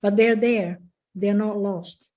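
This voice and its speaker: Woman, older, academic speaker; mellow tone, reassuring and explanatory, laptop mic on a video call. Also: medium pitch, fairly even intonation, slow. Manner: reassuring, explanatory, with many "you know" fillers. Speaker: linguistics professor, guest speaker. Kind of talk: answering audience questions in an online talk. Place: home office with bookshelves. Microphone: laptop webcam on a video call.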